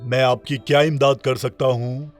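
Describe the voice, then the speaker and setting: A man's voice: medium pitch, flat delivery, faint music behind, close to an everyday voice. A man voicing a formal, stern royal guard in a children's cartoon story. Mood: formal, official.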